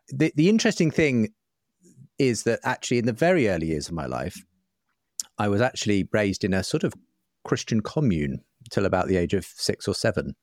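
A man's voice: fairly low pitch, no echo, studio quality. A man in his forties with an English accent, answering as a podcast guest in a polite, self-deprecating, conversational way. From Northampton area, England. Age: 40s. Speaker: podcast guest, writer and broadcaster. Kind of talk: conversational interview.

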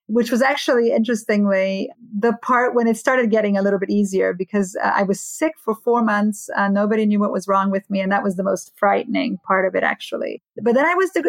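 A woman's voice: medium pitch, creaky, no room sound, rough recording. A woman from Romania, an author and academic, telling her life story as a podcast guest. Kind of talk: personal storytelling in interview.

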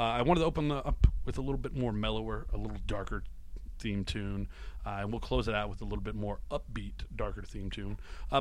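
A man hosting a podcast, rambling casually through an introduction in an informal, self-deprecating way. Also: lowish voice. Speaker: podcast host.

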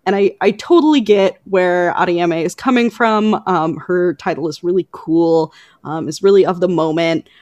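A woman co-hosting a podcast, talking through book news conversationally with hesitant pauses as she picks her words. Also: lowish voice, darkish tone, no room sound, lo-fi sound. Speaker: podcast co-host.

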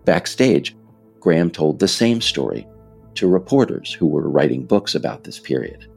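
An American man reading scripted political narration in a measured, emphatic, explanatory way. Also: extremely low pitch, big pitch swings, creaky, a little mellow, slightly rough, faint music behind. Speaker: author narrating his audiobook episode.

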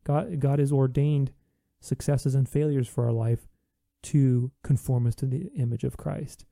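A man's voice: mid-range voice, level tone, unhurried, gravelly, mellow, no echo, clean studio sound. A man hosting a podcast, talking earnestly in a reflective solo monologue, with many restarts. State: recovering from voice loss.

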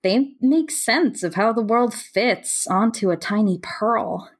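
A woman acting in an audio play as an earnest, dreamy mapmaker, speaking reflectively and pausing between phrases. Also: a little high. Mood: earnest, wistful. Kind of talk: audio play monologue and dialogue.

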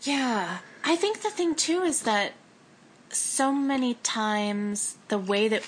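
A woman in casual conversation, animated and self-deprecating, laughing often as she talks. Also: fairly high pitch, slow pace, a little hiss or hum, rough recording.

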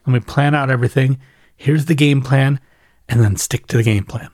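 A man giving a casual, reflective solo monologue off the cuff like a podcast host, conversational and thoughtful, leaning on repetition and pauses for emphasis. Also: mid-range voice, a little mellow, no echo, studio quality. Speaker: podcast host and newsletter writer.

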